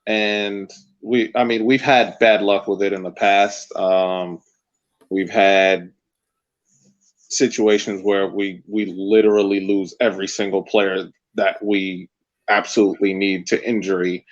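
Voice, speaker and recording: A man co-hosting a casual sports podcast, hesitant and halting, often restarting his sentences. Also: sits low, flat delivery, muffled recording. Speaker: podcast co-host.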